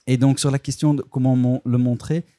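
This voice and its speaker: A man's voice: mid-range voice, little pitch movement, a little fast, warm tone, dry recording. A filmmaker, a man speaking a language that is not his first, answers interview questions hesitantly, restarting and searching for words. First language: not French.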